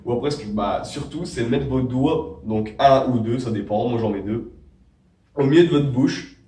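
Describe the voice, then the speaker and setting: A young man with a cold talks through a casual how-to tutorial, rambling and restarting as he goes. His voice sits at an ordinary pitch for a man. It sounds roomy and echoey, picked up from some distance away from the mic. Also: normal speed, warm tone. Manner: rambling, many fillers and restarts. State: has a cold. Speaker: vape tricks tutorial host.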